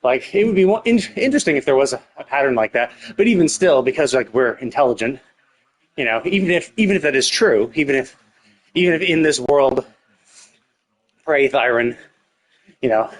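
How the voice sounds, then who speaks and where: A man's voice: mid-range voice, expressive, a little fast, pauses often. A man livestreaming a game, giving casual, rambling commentary as he thinks aloud and swears freely. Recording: game music playing behind the voice. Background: video game music.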